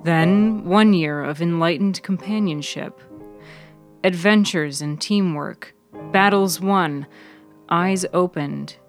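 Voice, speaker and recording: Slow, even-toned woman narrating fiction. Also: fairly low pitch, soft background music. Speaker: author and narrator of a serial fiction podcast. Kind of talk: scripted literary narration.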